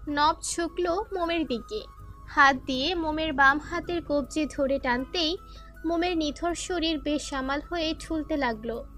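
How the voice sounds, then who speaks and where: A woman's voice: high-pitched, a bit bright. A woman narrator reading a scripted dramatic story. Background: faint music.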